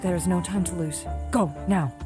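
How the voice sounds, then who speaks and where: Lady doing shrewd worldly woman, lowish voice, frank, warning, music underneath, audio drama. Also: steady tone, unhurried, lightly acted. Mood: wary concern. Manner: frank, cautioning. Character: shrewd, world-weary woman, guardedly kind to a street kid.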